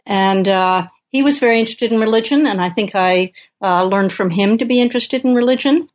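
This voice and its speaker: An older woman from Uganda, a professor and author, answering interview questions thoughtfully with many pauses and fillers. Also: mid-range voice. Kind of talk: podcast interview.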